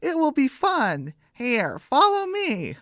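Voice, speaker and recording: Narrator putting on a sly, high-pitched prankster rooster. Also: wildly swooping pitch, slow pace, a bit bright, no echo, clean studio sound, exaggerated voice. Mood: sly, coaxing. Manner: coaxing, conspiratorial.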